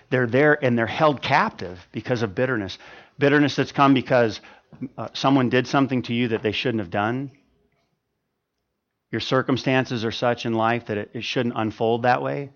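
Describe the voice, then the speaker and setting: Male pastor, 50s, fervent sermon delivery, rising loud then dropping soft, stammers and restarts, slightly muffled lo-fi recording. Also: medium pitch. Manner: impassioned, swings from loud emphasis to quiet, with stammered restarts.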